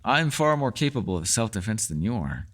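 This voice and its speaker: A man's voice: on the low side, very animated, brisk, fairly bright tone. A man playing a mysterious, learned elf in a fantasy ASMR roleplay, talking to the listener in a bookish, rambling and playfully formal way. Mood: fond, cautious. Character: mysterious, learned male elf, talkative and gently teasing.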